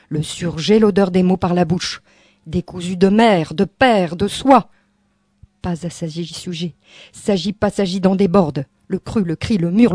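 A woman performing spoken-word poetry in an incantatory, repetitive way, swinging between hushed and forceful delivery. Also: fairly low pitch, no room sound. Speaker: poet.

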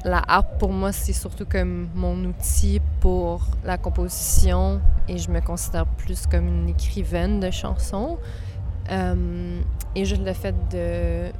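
A woman's voice: on the low side, little pitch movement, slow pace, over music. A woman musician from Quebec, an English speaker, answering interview questions hesitantly with many fillers. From Quebec, Canada. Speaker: musician guest.

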